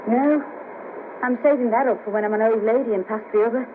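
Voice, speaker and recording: Brash, high-voiced Liverpool sex worker played by a woman, muffled tape. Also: a little fast, close to an everyday voice. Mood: blunt, cheeky. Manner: blunt, cheeky, matter-of-fact. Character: brash, bawdy, streetwise Liverpool sex worker, wryly guarded. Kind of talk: 1970s radio play acting. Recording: patchy hissy cassette of 1970s radio broadcast.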